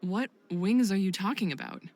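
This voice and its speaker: A woman's voice: mid-range voice, expressive, normal speed, a little mellow, no echo, natural voice. A woman playing a protective, serious friend and mentor in a visual novel game, speaking in an earnest, admonishing way.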